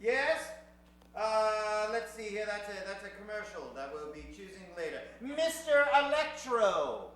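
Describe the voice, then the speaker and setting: A man's voice: sits high, expressive, unhurried, a bit bright. A man hosting a live improvised radio show on a theater stage, showy and mock-formal in an old-time radio manner, joking with the crowd. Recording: echoey theater stage recording.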